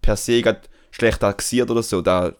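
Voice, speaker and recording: A young man from Switzerland with a Swiss German accent, telling a story in a relaxed, slangy podcast chat full of fillers. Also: fairly low pitch, moderate pace, clear, resonant tone. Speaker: podcast host. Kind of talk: casual chat.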